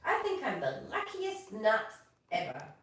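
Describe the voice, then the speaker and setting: A woman voicing a cheerful, grateful little gumnut boy from a storybook, with an excited, bouncy delivery. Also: on the high side, unhurried, heavily acted. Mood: grateful, happy. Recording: echoey room, distant from mic.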